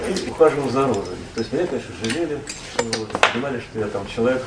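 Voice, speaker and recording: A man from Russia, a poet and translator, recalls his past as a guest in a relaxed, rambling conversation full of fillers and self-corrections. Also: mid-range voice, very fast, reverberant, recorded from a distance, heavy background noise.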